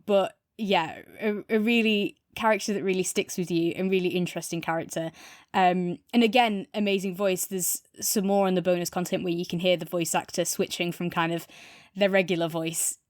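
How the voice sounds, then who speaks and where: A woman's voice: on the low side, fairly even intonation, normal speed, no room sound, clean studio sound. A woman co-hosting a podcast, talking casually through a review.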